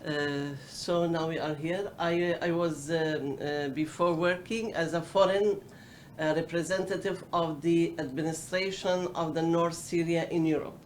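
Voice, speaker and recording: A woman, a political council's representative, introducing herself at a meeting with many pauses and fillers. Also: sits low, fairly even intonation, a little mellow, slight background noise.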